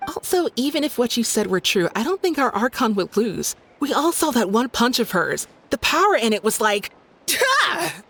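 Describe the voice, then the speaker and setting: A woman playing a feisty, loyal townswoman in a video game, emphatic and quick to scoff. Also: on the high side, sing-song, a bit bright, slightly rough, full character voice. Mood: indignant. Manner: emphatic, scolding.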